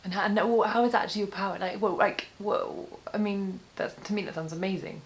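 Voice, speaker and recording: A woman's voice: medium pitch, quick pace, slight background noise. A woman interviewing a guest, asking probing, curious questions.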